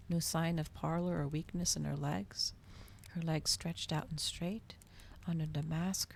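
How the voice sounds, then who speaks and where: A woman streaming an ASMR storybook reading in a hushed voice that often slips into whispers. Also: low-pitched, gravelly, slight background noise.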